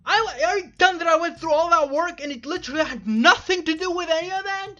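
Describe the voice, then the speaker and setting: A woman's voice: extremely high pitch, fast talker, bright, forceful tone. A woman giving casual let's play commentary in an exasperated, sarcastic, sweary manner, on slightly muffled audio. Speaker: gaming video host.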